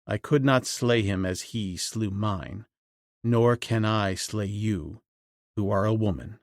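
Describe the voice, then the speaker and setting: A man voicing a stern wild jungle man who speaks slowly and grimly in a low voice. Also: no room sound, clean studio sound. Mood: grave. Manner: slow, low, grim speech.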